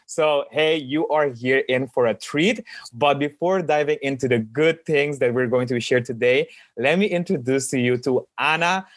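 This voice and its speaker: A man's voice: mid-range voice, no echo. A man hosting a podcast in a casual, conversational interviewing style. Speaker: podcast host.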